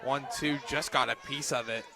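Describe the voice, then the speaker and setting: Young man, medium pitch, live radio softball commentary, outdoor ballpark, noisy crowd background. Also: steady tone, moderate pace, gravelly. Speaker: play-by-play announcer. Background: crowd and outdoor ambient noise.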